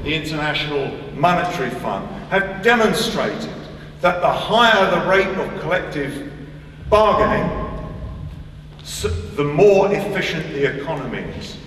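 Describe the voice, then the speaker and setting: A man giving a deliberate, emphatic public speech at a lectern on stage, pausing to stress his points. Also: fairly high pitch, animated, a bit bright, slight background noise. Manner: emphatic, deliberate, with measured pauses and repetition. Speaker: speaker at a political conference. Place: large hall on stage. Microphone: stand mic at a lectern, heard from a distance. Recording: echoey hall, distant mic.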